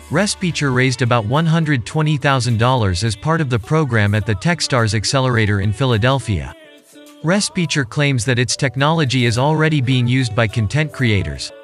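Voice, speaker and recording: A man from Germany presenting a video as scripted narration. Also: mid-range voice.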